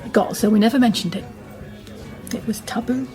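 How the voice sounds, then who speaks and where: An actress playing a hesitant woman confiding a family secret in a radio drama, in a halting, naturalistic way. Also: a little high, over music, natural voice. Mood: subdued, confiding.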